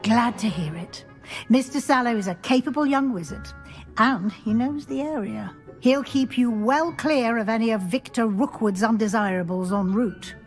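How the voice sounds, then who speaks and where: A woman playing a brisk, watchful professor at a wizard school in a video game, speaking formally and matter-of-factly. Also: fairly high pitch, faint music behind, close to an everyday voice. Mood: brisk, cautioning. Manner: formal, crisp, matter-of-fact. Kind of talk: scripted video game acting.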